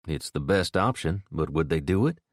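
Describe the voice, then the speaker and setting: A man's voice: very deep voice, lively intonation, moderate pace, dry recording, studio quality. A man voicing a tough armed man who speaks coolly with clipped threats. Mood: menacing.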